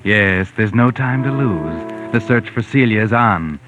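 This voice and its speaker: An actor playing a sardonic, all-knowing narrator of a 1940s radio mystery, mocking and ominous, with theatrical drawn-out phrasing. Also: male voice, on the low side, lively intonation. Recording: dull vintage radio recording, music behind. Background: music.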